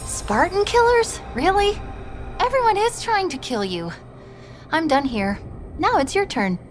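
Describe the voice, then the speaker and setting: A woman's voice: very high-pitched, very animated, unhurried, faint music behind, heavily acted. An actress playing a young, confident female AI companion in a video game, quippy and wryly teasing. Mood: playful, wry. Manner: wry, bubbly teasing. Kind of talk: video game cutscene acting.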